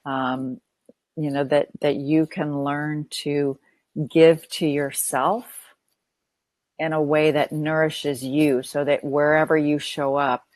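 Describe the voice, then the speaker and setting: A deep-toned woman counselor talks in an earnest, affirming way. She leans on repetition to build each point. Her voice sits close and dry, with no room sound. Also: darkish tone, no room sound. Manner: earnest, repeats phrases while building a point. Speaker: counselor and podcast guest. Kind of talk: podcast interview.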